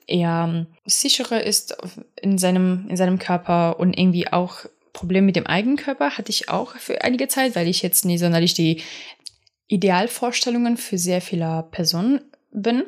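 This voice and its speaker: A woman's voice: on the low side, moderate pace. A young adult woman, not a native German speaker, talking in a casual, rambling podcast monologue full of fillers and restarts.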